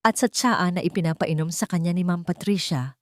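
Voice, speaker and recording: A woman's voice: fairly low pitch, brisk, no echo, clean studio sound, close to an everyday voice. A woman voicing a timid young housemaid in a storybook drama, hesitant and deferential. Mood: anxious, earnest. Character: timid, respectful young housemaid, anxious and earnest.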